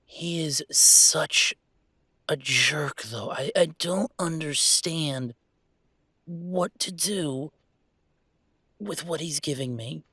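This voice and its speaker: A man acts out a troubled young man in an intimate audio drama. His voice is husky and close and dry. He talks slowly and haltingly, rambling and repeating words with raw emotion. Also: a little high, bright, forceful tone, no room sound, exaggerated voice. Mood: angry, exasperated, then agitated, distressed. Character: upset young male werewolf streamer venting about his father.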